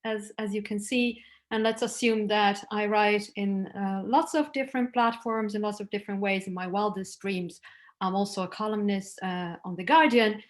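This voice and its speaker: A woman's voice: medium pitch, fairly even intonation, normal speed. A middle-aged woman, a native Italian speaker, giving an academic talk over a video call, conversational and prone to restarts. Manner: conversational, with frequent restarts and "like" fillers. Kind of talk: conference talk over slides.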